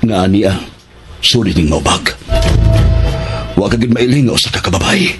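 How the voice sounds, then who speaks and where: A man's voice: deep voice, expressive, normal speed. A man in a radio drama playing a secretive schemer in disguise who is protective of his partner. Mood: serious, explaining. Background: dramatic background music.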